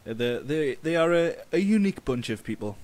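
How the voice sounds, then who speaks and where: A man co-hosting a podcast, asking casual interview questions with hesitant, halting phrasing. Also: on the high side, animated, darkish tone. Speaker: podcast co-host.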